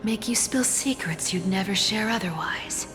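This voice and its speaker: Actress as a sly trickster thief, reverberant. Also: female voice, mid-range voice, normal speed, lightly acted. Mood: teasing, warning. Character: sly, world-weary female trickster thief, guarded and proud. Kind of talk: video game voice acting. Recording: long reverb under clear music.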